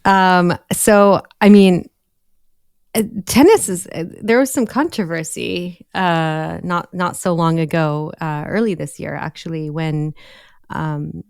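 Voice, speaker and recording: A woman co-hosts a podcast, chatting about the news in an easy, halting way with plenty of ums. Her voice sits a little low and sounds smooth and clean. The recording is dry and close, with no room sound. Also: steady tone, a little mellow, no room sound. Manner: hesitant, many ums and restarts, frequent laughs. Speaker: podcast co-host. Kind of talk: casual news discussion.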